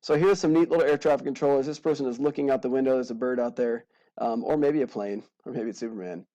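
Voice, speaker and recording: A man's voice: mid-range voice, fast, dark tone, highs cut off. A man giving an informal aviation lesson as an instructor, with casual, playful asides. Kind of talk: informal aviation lesson narrated over slides.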